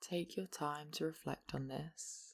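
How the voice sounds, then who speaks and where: A meditation guide gently and slowly leading a yoga relaxation practice, leaving long pauses between instructions. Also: mid-range voice, steady tone, vocal fry, breathy edge.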